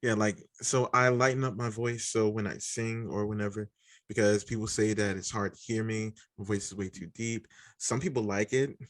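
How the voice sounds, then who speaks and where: A young man with a deep voice hosting a podcast, chatting casually with pauses and restarts. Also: no room sound. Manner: relaxed, halting with fillers and restarts. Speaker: podcast host. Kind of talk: casual interview chat.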